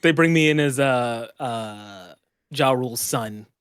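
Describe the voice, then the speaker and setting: A man with a mid-range voice who answers with dry, deadpan sarcasm and pokes fun at himself during a casual podcast chat. The recording is close and dry, with no room sound. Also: medium pitch, takes it slowly, clean tone, no room sound. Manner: dry, sarcastic, self-deprecating jokes. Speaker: podcast co-host.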